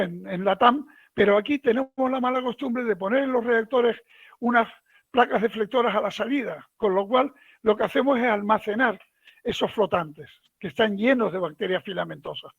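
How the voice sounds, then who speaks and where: A man gives a relaxed, folksy technical talk over a video call. His voice sits fairly high. The audio is dull and lo-fi, with a dry, close sound. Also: no echo. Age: 70s. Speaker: guest lecturer. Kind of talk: online technical lecture.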